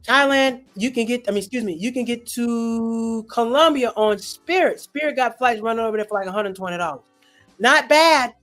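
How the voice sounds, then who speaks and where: A comedian hosting a casual livestream chat, telling stories in an animated, slangy way. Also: mid-range voice, moderate pace, faint music behind. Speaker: host and comedian.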